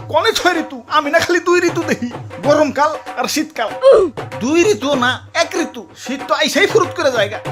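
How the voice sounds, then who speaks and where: A man with a Bangladeshi accent playing a cheeky, grumbling cartoon hero, full of complaints and exclamations in comic dialogue. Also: very high voice. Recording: music behind the voice.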